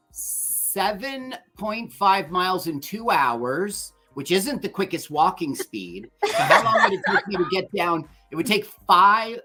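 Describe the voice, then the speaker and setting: A man with a high voice that gets him called ma'am, chatting as a guest on a livestream. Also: pushed and bright. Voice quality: high voice often taken for a woman's on the phone. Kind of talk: casual chat on a livestream.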